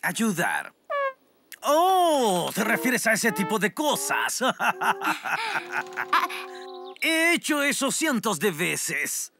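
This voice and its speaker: A lazy, scheming fake scout leader from a children's comedy show. He is hammy and mocking, with wildly swooping pitch and a slow, drawn-out delivery. Music plays clearly behind him. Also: wildly swooping pitch, full character voice. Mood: sly, boastful. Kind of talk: children's TV comedy acting, Spanish-language dub.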